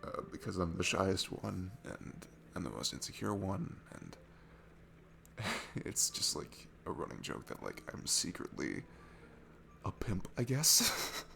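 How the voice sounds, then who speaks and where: A man playing a shy, insecure, sweet guy at a bar in an intimate audio roleplay, talking in a nervous, halting, apologetic ramble. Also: low voice, huge pitch swings, gravelly, pushed and bright, a little hiss or hum, exaggerated voice. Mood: embarrassed, joking. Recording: music behind the voice. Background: music.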